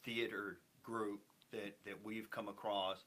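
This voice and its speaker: A man's voice: fairly low pitch, flat delivery, takes it slowly, a bit bright. A man talking effusively and emphatically as a theater guest in a casual interview. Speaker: theater company member, guest.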